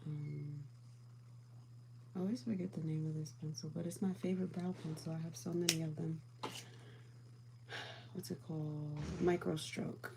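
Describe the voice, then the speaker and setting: A calm, soothing woman chatting casually with viewers as she does her makeup, often dropping to a whisper. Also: low-pitched, normal speed, creaky, pauses often, noisy background. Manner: calm, soothing, soft-spoken; often drops to a whisper while applying makeup. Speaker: beauty livestream host.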